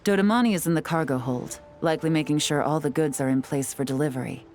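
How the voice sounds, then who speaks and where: A woman voicing a poised, sly female spy who talks with smooth, teasing politeness in a video game. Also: low voice, fast talker, a little mellow, soft background music, close to an everyday voice.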